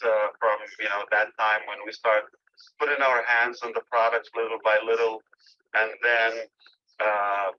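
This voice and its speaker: A man giving an informal update to members as a company leader on a group call, speaking haltingly with pauses mid-phrase. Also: fairly low pitch, a little hiss or hum. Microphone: phone or internet call line. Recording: dull lo-fi phone call audio.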